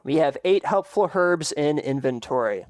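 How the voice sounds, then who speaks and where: A man's voice: fairly high pitch, expressive, normal speed, a little mellow, dry recording, clean studio sound. A man narrating as game master of a tabletop role-playing game, explaining rules and scenes in an easygoing way.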